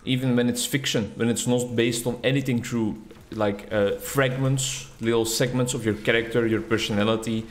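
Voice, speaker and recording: A young man, a native Dutch speaker, chatting in a rambling, reflective way while commenting on his gameplay. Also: medium pitch. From Netherlands or Flanders. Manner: rambling, reflective, self-deprecating. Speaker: gaming YouTuber. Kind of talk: casual commentary over gameplay.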